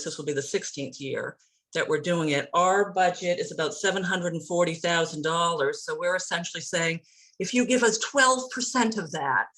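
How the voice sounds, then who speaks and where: A woman's voice: lowish voice, fairly bright tone, poor audio. A woman presenting her community organization's funding request at a town meeting, upbeat and enthusiastic.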